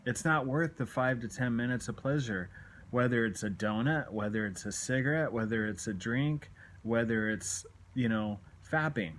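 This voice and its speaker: A man's voice: medium pitch, normal speed, fairly bright tone. A man in his late forties talking candidly to camera in an earnest, exhorting, confessional way, often repeating his points for emphasis. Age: late 40s. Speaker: self-help video blogger. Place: small room at home, indoors. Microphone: phone at arm's length.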